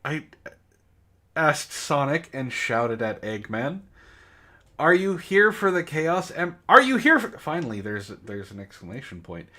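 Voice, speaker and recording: A man's voice: on the high side, sing-song, a little slow, bright, forceful tone. A man reading bad fan fiction in mock-dramatic style, with exasperated, sarcastic asides. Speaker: reader on a comedy book club show.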